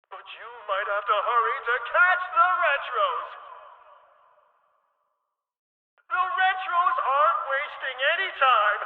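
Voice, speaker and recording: An actor playing an excitable, teasing video game arena announcer, showy and theatrical, through a PA-style filter. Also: male voice, extremely high pitch, moderate pace. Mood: excited, playful. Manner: showy, playful, exclamatory. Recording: tinny band-limited PA, long echoing reverb.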